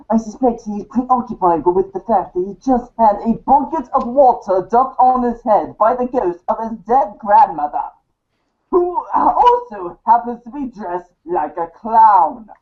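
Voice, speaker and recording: A woman playing a snooty, wordy, analytical teenage girl in a read-along fan theater show, speaking deliberately. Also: fairly high pitch, expressive, dull audio. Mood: smug, analytical. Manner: deliberate, wordy, stumbles on long words.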